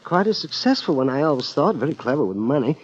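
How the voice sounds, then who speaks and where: A man's voice: fairly high pitch, very animated, fast talker, slightly rough. A man playing an anxious, over-polite and fussy shop partner in a 1950s radio crime drama. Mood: chatty, deferential. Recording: muffled old radio broadcast recording.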